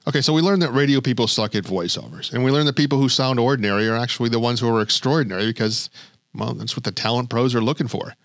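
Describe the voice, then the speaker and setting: A man's voice: mid-range voice, fast, no echo, studio quality. A male podcast host narrating in a light, wry storytelling way between interview clips. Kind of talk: scripted narration.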